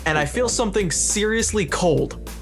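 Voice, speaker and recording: A young man with a slightly high voice tells a casual, profane story to friends. He acts out bits of remembered dialogue, and music plays faintly behind him. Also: expressive, normal speed. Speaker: podcast storyteller. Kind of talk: casual anecdote telling among friends.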